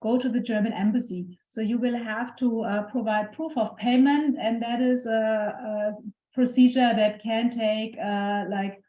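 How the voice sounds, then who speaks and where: A woman with a fairly high voice explains things informally as a university webinar co-presenter. She often pauses mid-sentence, and the sound is roomy and a little dull. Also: steady tone, warm tone. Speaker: university program representative co-presenting.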